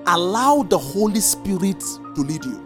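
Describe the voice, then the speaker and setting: A preacher teaching a congregation, stressing points in slow drawn-out repeated commands. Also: medium pitch, soft background music. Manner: lively sing-song emphasis, slow drawn-out repeated commands. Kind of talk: sermon teaching.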